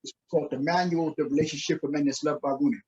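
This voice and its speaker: Husky New man, slightly muffled. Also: a little high, fairly even intonation, fast, dark tone. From Queens, New York, USA. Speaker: podcast guest, minister, author and coach. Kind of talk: casual interview answers. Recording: slightly dull, lo-fi call audio.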